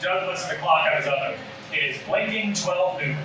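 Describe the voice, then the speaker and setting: A man's voice: a little high, brisk. A man reading scripted stage directions as narrator at a table read. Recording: echoey room, distant mic, noisy.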